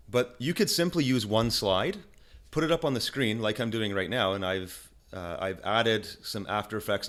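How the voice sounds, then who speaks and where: Deep-voiced man teaching in a chatty way. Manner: energetic and chatty, with frequent restarts and "okay?" check-ins. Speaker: online marketing instructor. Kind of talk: casual screen-recorded tutorial. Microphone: desk microphone.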